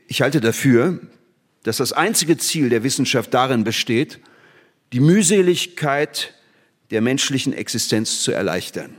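In a long hall reverb, a disgraced old scientist at a middle pitch. He lectures in grave, declamatory phrases, bitter and self-accusing, in the manner of a 1960s radio play. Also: lively intonation. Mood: grave lecturing. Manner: declamatory, deliberate rhetorical phrasing.

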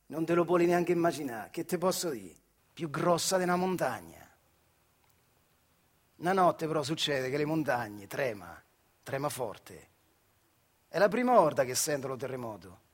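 A working-class factory hand from a stage monologue, with a notably high male voice and a central Italian Marche accent. He tells his life colloquially, earthy and wry, in the manner of radio theatre storytelling. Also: sing-song, normal speed, pauses often. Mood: nostalgic. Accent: Marche, central Italy. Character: Adriano, working-class old factory man telling his childhood memories. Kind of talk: theatrical monologue storytelling in dialect-tinged speech.

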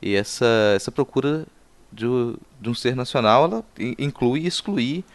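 A Brazilian man hosting an interview, asking long reflective questions in a halting, conversational way with repeated words. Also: medium pitch, expressive, no echo. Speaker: podcast host, interviewer.

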